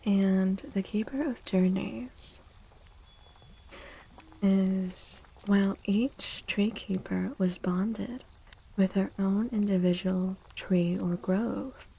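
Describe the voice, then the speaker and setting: A woman speaking softly and soothingly in a whispery, breathy voice, as an ASMR livestream host. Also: mid-range voice, steady tone, poor audio. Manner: gentle, soothing, unhurried. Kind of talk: soft-spoken ASMR, tarot reading and chatting with viewers.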